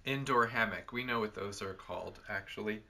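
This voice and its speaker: A man's voice: fairly low pitch, a little fast, vocal fry, pushed and bright. A young man co-hosting a perfume review, chatting casually with lots of fillers in an indoor room. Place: cluttered indoor room with bookshelves.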